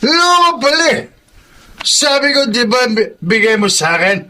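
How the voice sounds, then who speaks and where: A man's voice: extremely high pitch, sing-song, moderate pace, sharp tone, exaggerated voice. A man playing a belligerent drunken bully with slurred speech and a Filipino accent in a radio drama. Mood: angry, taunting. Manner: bullying, taunting. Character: belligerent drunk male bully cheating a street vendor.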